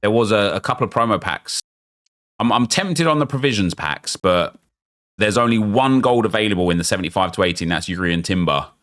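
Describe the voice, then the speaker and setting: Low-voiced man, chatty gamer. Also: fairly quick. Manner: chatty, excitable, thinking aloud. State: losing his voice, getting unwell. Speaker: gaming YouTuber. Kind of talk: casual pack-opening commentary.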